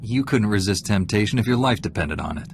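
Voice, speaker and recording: An actor playing a composed, dryly witty heroic warrior knight in a video game. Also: male voice, on the low side, expressive, vocal fry, a little hiss or hum. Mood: dry, wry. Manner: measured, dry, wry.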